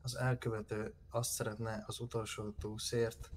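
A man's voice: on the low side, little pitch movement, slight background noise. A man playing a police hostage negotiator in online roleplay, speaking matter-of-factly and asking questions over game voice chat. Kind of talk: improvised roleplay dialogue.

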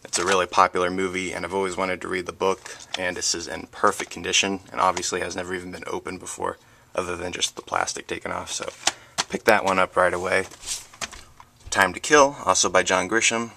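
A man's voice: low-pitched, steady tone, fairly quick, vocal fry. A man chatting casually and hesitantly as he presents a collection item by item on a home video. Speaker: book vlogger. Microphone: handheld camera, close behind it. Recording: noisy home camera audio with handling clicks.

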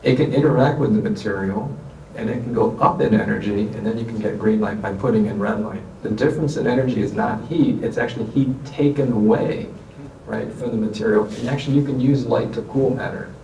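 A man's voice: medium pitch, a little fast, a little mellow, a little hiss or hum. A man on a science panel answering audience questions, explaining with everyday analogies in a lecture room. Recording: echoey room from far off.

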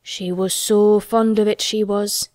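A woman's voice: mid-range voice, darkish tone, no room sound, natural voice. Woman voicing a crabbed old Yorkshire gardener man. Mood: slow, obstinate. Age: older. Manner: dry, slow, gruffly obstinate.

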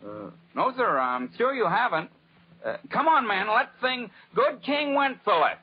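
An actor playing a sly, menacing gang boss with a nasal lisp, in 1940s radio style. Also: male voice, very high-pitched, big pitch swings, a little slow, breathy edge, heavily acted. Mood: falsely polite. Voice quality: lisp, odd nasal speech. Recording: muffled 1940s radio, background commotion.

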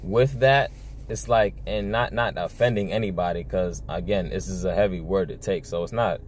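A man's voice: deep voice, normal speed, a little hiss or hum. A young man talking earnestly to camera in an informal preaching style, repeating himself and often saying "you know", recorded on a phone in a car. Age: young adult. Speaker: religious video blogger. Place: inside a parked car at night.

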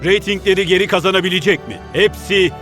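A man's voice: sits high, fast talker, fairly bright tone, soft background music. A man playing a slick, dramatic reality TV narrator in a cartoon. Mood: suspenseful. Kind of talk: scripted reality-show narration.

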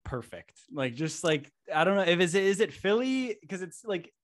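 A man's voice: high-pitched, sing-song, normal speed. A man in his mid-twenties hosting a podcast, chatty and loose, filling his talk with likes, speaking into a desk microphone in a small room. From Niagara Falls. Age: 20s. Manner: chatty, lots of filler likes. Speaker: podcast host. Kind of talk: casual interview chat.

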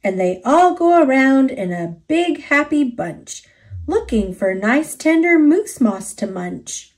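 A woman with an American accent narrating a rhyming children's story aloud, expressively and with deliberate enunciation. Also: high-pitched, very animated.

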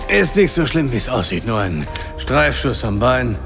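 An actor playing a wounded, determined father with a gravelly voice. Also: mid-range voice, very animated, moderate pace, music in the background. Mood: resolute. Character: wounded, resolute older father.

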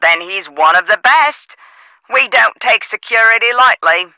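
A woman's voice: fairly high pitch, animated, unhurried, no echo, lo-fi sound. An actress playing a chatty, officious female office manager in a video game, heard over a telephone. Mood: matter-of-fact. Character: chatty, prim female office manager on the phone, policy-proud.

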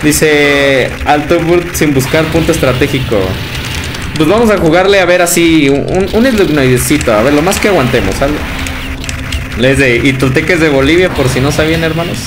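A young man with a Mexican Spanish accent chats and laughs with his livestream viewers from a room at home. His voice sits a little high, and loud game music plays behind him the whole time. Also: normal speed. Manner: chatty, laughs often, calls viewers brother. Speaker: game streamer. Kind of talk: casual livestream chat reading viewer comments.

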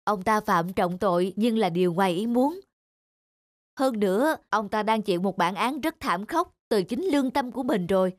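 A woman voicing a stern, fair-minded middle-aged male governor in a cartoon, speaking formally and with measured authority. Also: mid-range voice, lively intonation, no echo, studio quality, close to an everyday voice. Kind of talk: scripted cartoon dub. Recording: music under the dialogue.

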